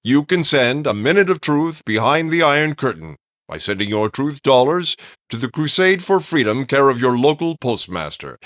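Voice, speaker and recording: A man delivers a 1950s-style radio appeal in a mid-pitched, animated voice. He sounds earnest and formal, recorded close and dry. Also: normal speed, no echo. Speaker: radio announcer reading an appeal. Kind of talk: 1950s radio public-service announcement.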